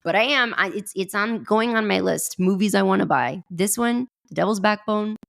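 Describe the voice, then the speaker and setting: A woman's voice: medium pitch, moderate pace, vocal fry, fairly bright tone. A woman around forty co-hosting a podcast, chatting casually and in a rambling way about films and TV. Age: late 30s to early 40s. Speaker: podcast co-host.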